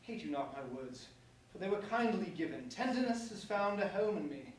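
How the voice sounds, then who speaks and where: Man acting a high-voiced, pompous young stage prince. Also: lively intonation, moderate pace. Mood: tender, earnest. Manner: theatrical, formal verse declamation. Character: pompous young prince, grandiose, softened by love. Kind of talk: staged reading of verse comedy. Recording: very echoey room, distant mic, faint noise.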